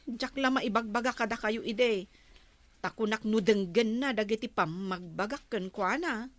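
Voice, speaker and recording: Actress as fed up filipino wife, high plaintive voice, dry sound, radio drama. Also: female voice, normal speed, no room sound, close to an everyday voice. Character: weary, complaining wife of a drinker, mother.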